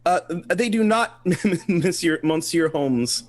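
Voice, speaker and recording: A man puts on a French Foreign Legion colonel for a radio play, obliging but flustered. The voice sits high and swings around as he fumbles hesitantly along, recorded dry and close. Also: expressive, takes it slowly, no room sound. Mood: flustered, searching. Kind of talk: amateur radio play reading.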